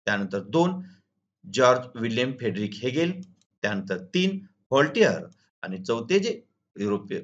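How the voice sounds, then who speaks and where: A male teacher takes students through exam questions in a muffled, low-fidelity recording. His mid-pitched voice swings up and down in a lively way as he reads out each item. The delivery is formal, methodical and instructional. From Maharashtra, India. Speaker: school teacher and video channel host. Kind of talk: instructional exam walkthrough, reading questions and answers. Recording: dull lo-fi recording.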